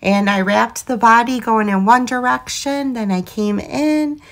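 A woman hosting a craft tutorial in a chatty, enthusiastic voiceover, her voice going hoarse. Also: fairly high pitch, measured pace. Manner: chatty, enthusiastic, laughs often. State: losing her voice. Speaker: craft channel host.

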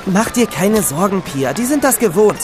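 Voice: mid-range voice, very animated, moderate pace, music in the background, close to an everyday voice. A performer playing an eager boy who loves knights and proudly shows off what he knows, in a children's audio drama. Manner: enthusiastic, showing off what he knows.

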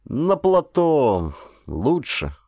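A man's voice: mid-range voice, huge pitch swings, very slow, warm tone, no room sound, studio quality, pitched above the performer's own voice, heavily acted. A man voicing a timid young son who is eager to please his father, in an audiobook. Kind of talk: audiobook character dialogue.

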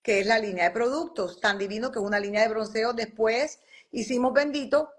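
A woman in her forties with a lilt talks over a phone video call from home, her fairly high voice full of animated storytelling. She slips into little voices for the people she quotes, keeping the chat casual and warm. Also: fairly quick. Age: 40s. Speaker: guest doctor and skincare entrepreneur. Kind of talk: casual live interview. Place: home room by a bright window.

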